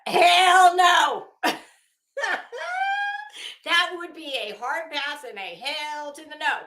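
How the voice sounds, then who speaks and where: A woman's voice: high voice, slow pace, sharp tone. A woman hosting a casual livestream chat, talking to viewers in a gossipy way with chuckling, coy asides. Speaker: livestream host. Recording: roomy, echoey room sound.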